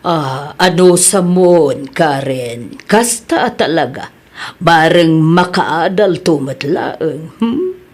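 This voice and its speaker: Actress for firm, patient female boss, Filipino accent, audio drama. Unhurried pace, slightly low voice, measured manner. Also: animated, a little mellow, full character voice. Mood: calm, probing. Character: woman boss, patient but firm, reproving staff. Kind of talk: acted audio comedy-drama dialogue.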